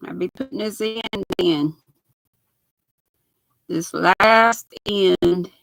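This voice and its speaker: An older woman with a raspy voice, chatting folksily with her livestream viewers while she sews. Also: fairly low pitch, very slow, fairly bright tone, no room sound, poor audio. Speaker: knitting livestream host. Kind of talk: casual live chat while sewing.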